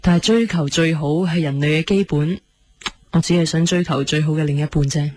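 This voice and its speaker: A woman's voice: sits low, a little fast, a little mellow, close to an everyday voice. A woman with a Hong Kong Cantonese accent playing an unhappy, yearning young wife in a sci-fi romance radio drama, emotional and plaintive. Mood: wistful, frustrated. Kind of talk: radio drama acting with narrated inner monologue.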